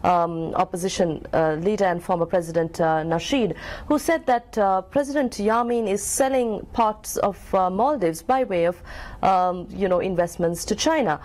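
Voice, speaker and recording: A woman's voice: lowish voice, normal speed, a little mellow. A woman from Sri Lanka interviewing guests, asking long questions with many hesitant ums and uhs.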